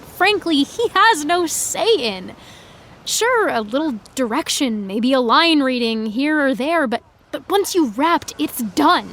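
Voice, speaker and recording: A woman playing a frustrated, opinionated high school senior fangirl in a naturalistic audio drama, earnest and articulate. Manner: earnest, articulate, indignant teen speech.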